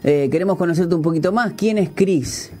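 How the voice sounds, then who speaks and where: A man's voice: sits high, a little fast, mellow. A Uruguayan man hosting a radio interview, chatty and hesitant, with many fillers and restarts. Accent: Uruguayan Spanish. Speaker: radio host. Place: radio studio.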